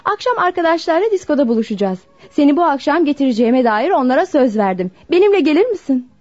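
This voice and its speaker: A rich, modern young woman in a radio play, coquettish and coaxing. Her high voice swings with very lively intonation, on a dull recording. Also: quick pace. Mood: pouting, coaxing. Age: young adult. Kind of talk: Turkish radio drama acting.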